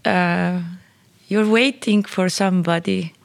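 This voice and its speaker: A woman chatting casually and thoughtfully, often pausing to search for words. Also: on the low side, steady tone, gravelly, no echo. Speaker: performance maker, guest. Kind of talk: casual conversation.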